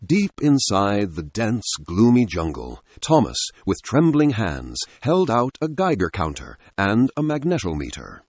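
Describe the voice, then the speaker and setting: A man's voice: medium pitch, darkish tone, husky, dry recording. A man narrating a scripted adventure story in a dramatic style.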